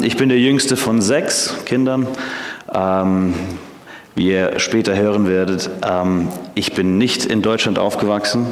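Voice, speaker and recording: Junger Prediger mit tiefer Stimme in halligem Raum. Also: male voice, fairly low pitch. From Canada. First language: English. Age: 20s. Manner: hesitant, frequent filler sounds. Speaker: theology student preaching. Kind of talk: sermon. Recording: echoey hall.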